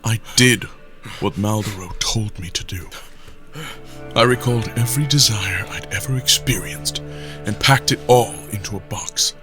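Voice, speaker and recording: A man's voice: fairly low pitch, moderate pace, bright, forceful tone, faint music behind. An actor playing a troubled, brooding man in a somber, introspective scripted monologue. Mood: somber, anxious. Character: troubled, brooding man confessing inner struggle. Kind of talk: scripted first-person monologue.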